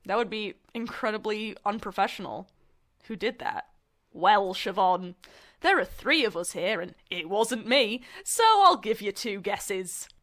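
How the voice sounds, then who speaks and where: A woman's voice: medium pitch, lively intonation, measured pace, a bit bright. A young woman acting as a university student in a comedic audio drama. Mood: condescending. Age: young adult. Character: young female student journalists and theatre director sparring.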